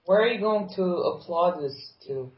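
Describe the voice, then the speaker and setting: A man bantering and teasing his friends in a loose podcast chat. His voice sits slightly high, and the recording sounds muffled and lo-fi. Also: expressive, normal speed. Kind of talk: casual chat among friends.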